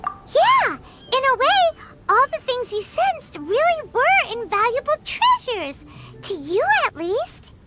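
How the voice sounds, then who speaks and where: A woman voicing a tiny, curious, childlike fairy companion in a video game, with a squeaky voice and a cute, earnest manner. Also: big pitch swings, takes it slowly, exaggerated voice. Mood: cheerful, reassuring. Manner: cute, earnest, refers to herself by name. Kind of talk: video game character acting. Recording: loud game music behind the voice.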